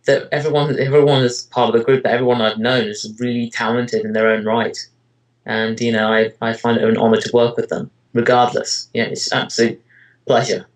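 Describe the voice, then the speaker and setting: Man, medium-pitched voice, casual interviewee, hesitant and rambling, frequent filler phrases. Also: flat delivery, brisk, low-quality recording. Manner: hesitant, rambling delivery with frequent "you know" and "kind of". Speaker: interviewee, amateur voice actor and web parody creator.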